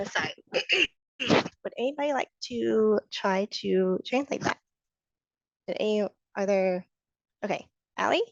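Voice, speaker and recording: Woman, hoarse, online teacher, patient, encouraging, dull lo-fi video call audio. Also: mid-range voice, a little slow, pauses often, no echo. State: hoarse from a cold. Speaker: sign language instructor.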